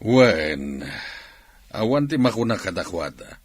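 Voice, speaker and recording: A man's voice: lowish voice, sing-song, slow, no room sound, faint music behind, full character voice. A man playing a gossipy, teasing villager in a comedy radio drama, with a Filipino Ilocano accent.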